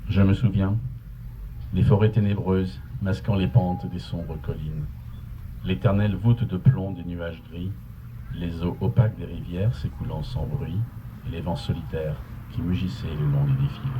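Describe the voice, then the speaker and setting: Low-voiced Frenchman, very noisy recording. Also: steady tone, moderate pace. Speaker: poetry reader. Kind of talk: live public speaking and recitation. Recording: muffled live recording, very noisy.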